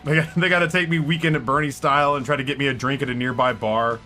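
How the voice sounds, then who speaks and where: A man in his thirties streaming and commenting on a game in a rambling, self-mocking way, his voice hoarse after hours of talking. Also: medium pitch, steady tone, fast, pushed and bright, soft background music. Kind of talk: live gameplay commentary. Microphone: headset with headphones.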